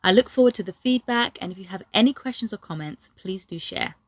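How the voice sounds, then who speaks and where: A woman's voice: mid-range voice, animated, fairly quick. A woman hosting a podcast, speaking as an interviewer and presenter. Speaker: podcast host.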